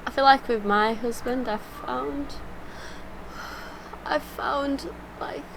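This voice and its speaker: A woman's voice: high-pitched, slow pace, gravelly, background noise. A woman talking casually and personally as a reaction vlogger, heartfelt and rambling, emotional. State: emotional, tearful.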